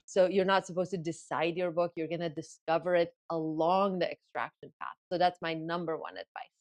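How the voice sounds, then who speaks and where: A woman whose first language is Farsi, a guest in an interview, explaining her method in lively bursts and acting out inner thoughts. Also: on the low side, a little fast. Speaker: guest author and ghostwriting coach. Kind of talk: casual interview talk.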